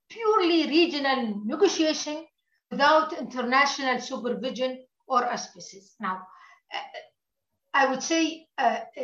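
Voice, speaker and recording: A woman with a high voice lays out her views in a formal, measured way, like an analyst answering interview questions. Her line sounds roomy and somewhat muffled, as if coming in from a distance over a remote link. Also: fairly bright tone. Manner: measured, formal policy talk. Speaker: political analyst.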